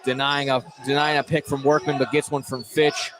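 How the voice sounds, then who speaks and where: A man's voice: mid-range voice, little pitch movement, normal speed. A man calling a live basketball game for radio, following each pass as it happens and often repeating words. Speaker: play-by-play announcer. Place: indoor basketball gym. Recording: noisy gym, clear ambient din. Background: gym noise, bouncing basketballs.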